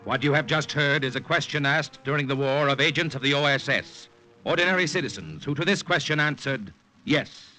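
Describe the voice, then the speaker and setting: A man's voice: mid-range voice, brisk, poor audio. An American man announcing formally in a declamatory 1950s radio style. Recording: vintage radio, loud music behind.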